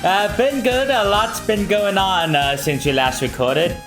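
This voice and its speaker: A man co-hosting a casual podcast, relaxed and giving short reactions. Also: sits high, unhurried, music in the background. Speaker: podcast co-host.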